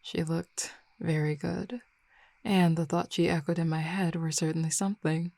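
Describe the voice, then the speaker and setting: Woman as a low, gravelly flirty werewolf. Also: no echo. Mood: casual, chatty. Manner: relaxed, teasing, affectionate, unhurried. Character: flirty, easygoing female werewolf pack member, confident and teasing. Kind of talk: intimate roleplay audio drama, casual one-sided conversation.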